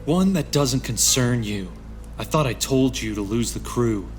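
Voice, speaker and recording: A man's voice: mid-range voice, expressive, unhurried, soft background music, lightly acted. A man acting a cold, menacing young assassin in a dramatic fan dub, terse and condescending in his delivery. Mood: cold, commanding.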